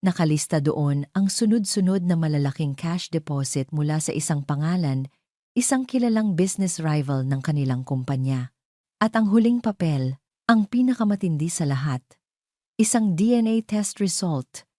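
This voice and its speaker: A woman's voice: deep voice, lively intonation, moderate pace, warm tone, dry recording, clean studio sound. A woman narrating a scripted drama story in a dramatic style.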